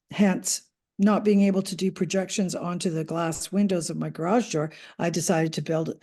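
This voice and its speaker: A woman's voice: on the low side, dark tone. A Canadian woman, a podcast guest, answering interview questions in a chatty, hesitant way with many ums and restarts. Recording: audio podcast remote recording.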